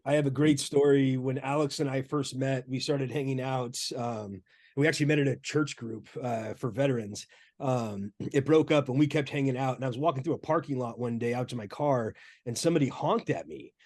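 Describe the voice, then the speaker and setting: A man's voice: medium pitch, a little fast, darkish tone. A man chatting casually and informally on a video call, with a laid-back way of talking. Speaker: discussion participant and veteran. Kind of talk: casual chat, anecdotal.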